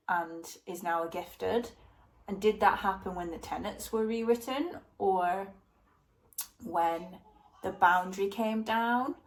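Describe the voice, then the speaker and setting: A woman with a slightly low-pitched voice talks in a casual, rambling way, like a personal reaction. She is animated and opinionated. She often puts questions to herself as she goes. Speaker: book club reader and reviewer.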